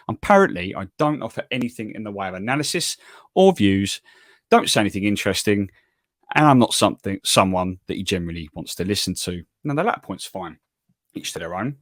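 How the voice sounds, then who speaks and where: A man with an English accent hosting a football podcast, talking calmly and evenly in a self-deprecating way. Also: deep voice, no echo, clean studio sound. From England. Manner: calm, even, self-deprecating. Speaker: podcast host. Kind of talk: solo podcast monologue and interview.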